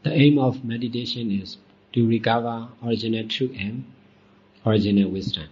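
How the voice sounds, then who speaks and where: Husky man guiding a meditation. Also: medium pitch, a little mellow. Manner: deliberate short phrases with long pauses. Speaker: Buddhist monk and meditation teacher. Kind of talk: guided meditation dhamma talk. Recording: dull, muffled lo-fi recording.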